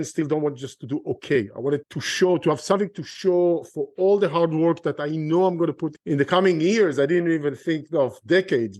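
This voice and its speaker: A man's voice: a little high, a little mellow, no room sound. A middle-aged man from Israel with an Israeli accent, talking earnestly as a guest on an interview podcast and often restarting his sentences. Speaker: guest, real estate investor.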